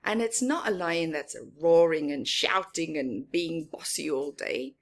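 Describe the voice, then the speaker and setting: A woman's voice: sits low, unhurried. A woman reading a story aloud to young children, expressively, with simple explanatory asides. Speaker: children's story-time reader.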